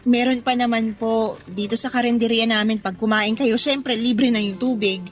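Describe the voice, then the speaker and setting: A woman playing a kind, respectful young college student in a radio drama, speaking politely and gently. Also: on the high side, steady tone, moderate pace, close to an everyday voice. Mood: kind, eager. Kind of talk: Tagalog radio drama acting.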